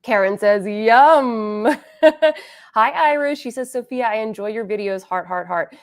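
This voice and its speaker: A woman's voice: fairly high pitch, measured pace. Woman, beauty livestream host, casual chat, slightly congested, reads out comments. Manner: chatty, reads viewer comments aloud. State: congested, a little sniffly.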